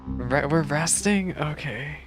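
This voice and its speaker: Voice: medium pitch, slow pace. Wry game streamer over prominent music. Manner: slangy, wry. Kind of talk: casual live playthrough commentary.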